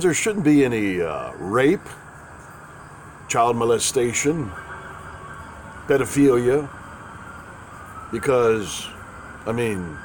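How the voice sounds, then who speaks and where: A man hosting his own show, talking in a folksy, sarcastic, rambling way, raspy from a head cold. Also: medium pitch, a little hiss or hum. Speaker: host. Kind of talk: casual spoken commentary.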